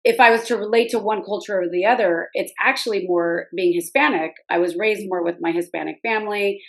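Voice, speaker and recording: A woman's voice: mid-range voice, fairly quick, low-quality recording. Thoughtful woman podcast host. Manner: thoughtful, reflective questions. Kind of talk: conversational interview.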